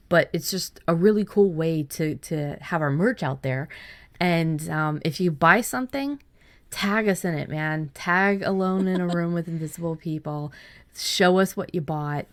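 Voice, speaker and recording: Chatty woman podcast host, lowish voice. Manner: chatty, many restarts and repeated words. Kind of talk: casual podcast chat.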